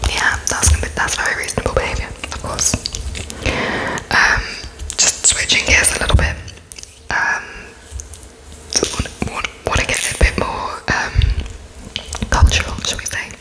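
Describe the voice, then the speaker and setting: A woman whispering softly as an interviewer in an ASMR roleplay, with a gentle, polite, halting manner, as if speaking while taking notes. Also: rough recording. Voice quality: breathy, unvoiced whisper. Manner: hesitant, halting, dictating slowly as she writes notes. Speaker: ASMR performer playing an interviewer.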